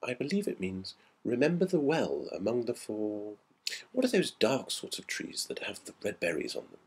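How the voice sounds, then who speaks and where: A man's voice: on the low side, wildly swooping pitch, normal speed, husky, heavily acted. A man voicing a puzzled, earnest schoolboy who chats in old slang within a ghost story reading. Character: schoolboy recounting an odd episode, puzzled and earnest. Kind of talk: audiobook character dialogue in a ghost story.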